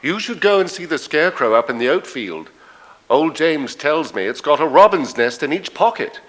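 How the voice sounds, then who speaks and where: An actor playing a steady, matter-of-fact man in an audiobook dramatisation. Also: fairly high pitch, normal speed, rough recording.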